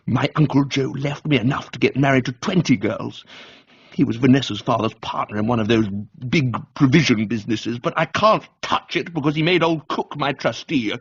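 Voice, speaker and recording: A man voicing a blunt, intense young political agitator with a low, guttural voice in a dramatised reading. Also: husky, lo-fi sound. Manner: blunt, clipped, emotional. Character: fiery gruff intense young left-wing male agitator.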